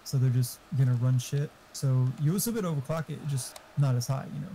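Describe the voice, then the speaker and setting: A man's voice: mid-range voice, quick pace, dark tone, a little hiss or hum, rough recording. A man chatting casually over gaming voice chat, rambling and swearing freely.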